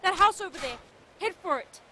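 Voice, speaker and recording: A woman's voice: very high-pitched, animated, a little fast, sharp tone, slight background noise, exaggerated voice. A woman playing an upbeat, bossy young survivor who coaxes and takes charge in an audio drama. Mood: urgent.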